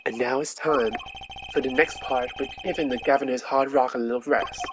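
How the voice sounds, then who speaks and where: Smooth, vain rock-idol guy for a game, played by a woman; low register, flirtatious showman delivery. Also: fairly even intonation, brisk, background noise. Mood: smooth, showy.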